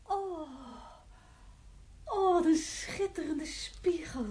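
A woman playing an affectionate, wonderstruck lover in a Dutch radio play, with a breathy voice and a tender, exclaiming manner. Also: extremely high pitch, very slow, mellow, full character voice. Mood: delighted wonder. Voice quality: very breathy, husky.

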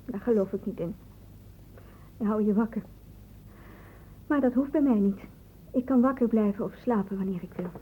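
A woman's voice: mid-range voice, moderate pace, pauses often, close to an everyday voice. An actress playing a guarded, evasive teenage girl in a radio drama, giving hesitant, defensive answers. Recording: noisy, dull lo-fi old radio recording.

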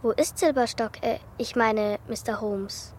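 A woman's voice: fairly high pitch, unhurried, close to an everyday voice. An actress playing an observant, candid young girl in a radio play, talking in a chatty, matter-of-fact way. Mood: eager.

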